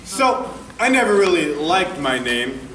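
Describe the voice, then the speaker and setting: A high, animated boy's voice acted by a young man carries from a distance in an echoey theater. He is plaintive and exasperated, pausing for effect in a comic stage monologue. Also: crowd noise. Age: teenager or young adult. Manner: deliberate, plaintive, dramatic pauses. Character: aggrieved, exasperated boy who hates his own name. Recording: echoey theater, distant mic, faint noise.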